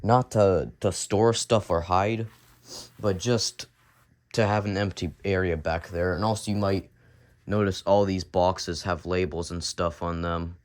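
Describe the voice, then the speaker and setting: A young man with a cold talks to the camera in a very low, raspy voice, coughing now and then. He rambles, repeats himself and restarts his sentences as he points things out in his basement. The sound comes from a handheld camera down in the basement. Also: fairly even intonation, a little slow. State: sick, coughing. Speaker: vlogger. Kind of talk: casual home vlog tour. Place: home basement, indoors.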